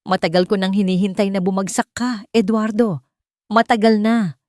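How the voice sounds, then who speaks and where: A woman's voice: mid-range voice, little pitch movement, normal speed, darkish tone, dry recording, studio quality, voice pushed up, lightly acted. A woman voicing a villainous male lawyer in an audio story, agitated, threatening and gloating. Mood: bitter gloating. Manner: menacing, agitated.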